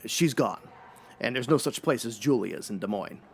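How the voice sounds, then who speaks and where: A man's voice: mid-range voice, lively intonation, normal speed, creaky, slightly rough. A man playing a brusque, slangy, wisecracking private detective in a noir comedy radio drama. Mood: grim, matter-of-fact.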